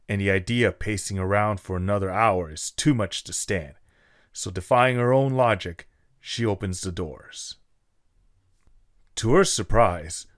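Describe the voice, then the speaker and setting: A man's voice: fairly low pitch, no echo, studio quality. A man reading scripted story narration as the narrator of an audio drama.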